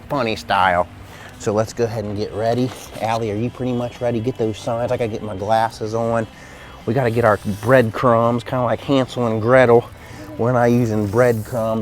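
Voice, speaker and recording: Male kids' gym host, low voice, playful and casual, directing a helper over loud music. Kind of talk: casual chat while setting up a prank.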